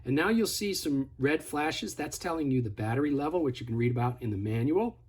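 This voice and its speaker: A man's voice: medium pitch, animated, brisk, husky. A man giving a casual, hands-on product tutorial in a conversational, step-by-step instructive way. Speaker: product demonstrator.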